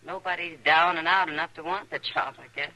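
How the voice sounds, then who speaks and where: An actress playing a wry, world-weary saloon boss in an old radio western, plain-spoken and dry. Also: female voice, sits low, fairly even intonation, a little fast, bright, forceful tone, rough recording. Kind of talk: 1950s radio western acting.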